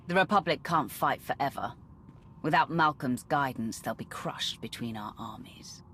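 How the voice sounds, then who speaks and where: An actress playing a cold, vengeful Sith warrior commander in a video game, menacing and commanding. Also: female voice, a bass voice, animated, moderate pace, fairly bright tone, a little hiss or hum. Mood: stern, commanding. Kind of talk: cinematic video game voice acting.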